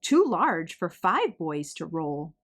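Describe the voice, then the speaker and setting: A woman reads a children's picture book aloud. Her delivery is slow and deliberate, with lively swings in pitch and dramatic emphasis on key words. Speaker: children's story reader.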